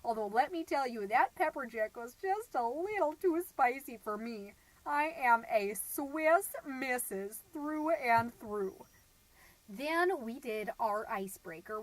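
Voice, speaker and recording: A woman's voice: on the high side, lively intonation, measured pace, low-quality recording. A woman playing a chirpy, gossipy suburban stay-at-home mom who hosts a homemade comedy podcast in a folksy, rambling, self-satisfied way. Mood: rambling, chatty. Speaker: podcast host.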